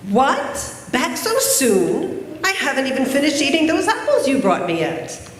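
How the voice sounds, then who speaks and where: A woman's voice: high voice, wildly swooping pitch, a little slow, full character voice. A woman playing a wry, generous village witch who gardens, in an amateur readers theater. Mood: mock surprise. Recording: echoey hall, noticeable room noise.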